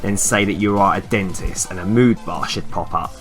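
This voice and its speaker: Low-voiced man narrating a game walkthrough. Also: normal speed. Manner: instructional, step-by-step. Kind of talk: informal walkthrough commentary. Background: background music.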